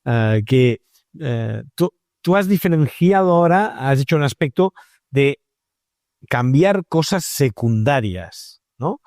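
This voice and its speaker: A man's voice: medium pitch, lively intonation, slow, a little mellow, no room sound, clean studio sound. A man interviewing in a conversational, discursive way, often checking for agreement with short tag questions.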